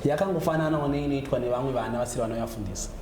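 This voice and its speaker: A man's voice: mid-range voice, racing. Zimbabwean man in an echoey, noisy room. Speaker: gospel musician being interviewed. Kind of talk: conversational interview answers. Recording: echoey room with clear background noise.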